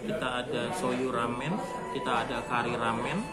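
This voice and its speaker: Indonesian man explaining over loud music. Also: mid-range voice, fairly even intonation, moderate pace. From Jakarta, Indonesia. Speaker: executive chef being interviewed. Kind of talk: informal interview answers.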